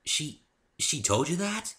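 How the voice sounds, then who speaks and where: A man voicing a meek, gentle young female pony, polite and apologetic, in a dramatic story reading. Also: on the high side, big pitch swings, takes it slowly, pitched above the performer's own voice, full character voice. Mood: timid, startled. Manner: meek, soft-hearted, apologetic.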